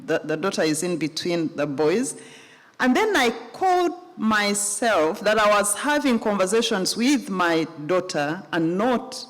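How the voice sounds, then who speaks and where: A middle-aged woman on a live panel speaks slowly and thoughtfully, restarting her phrases as she goes. A long reverb from a big hall hangs behind her voice. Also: mid-range voice, animated. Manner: measured, reflective, with restarts. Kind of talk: panel discussion at a live festival.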